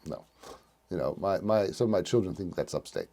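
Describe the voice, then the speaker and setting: An older man, co-hosting a casual podcast chat, animated and talking over others. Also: sits low, fairly quick, slight background noise. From New York. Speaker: podcast co-host.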